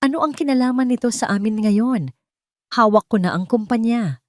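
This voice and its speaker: A woman's voice: a little high, moderate pace, darkish tone, no room sound, clean studio sound, pitched above the performer's own voice, lightly acted. A woman voicing a tender, earnest and protective mother in an audio drama story. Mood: guarded.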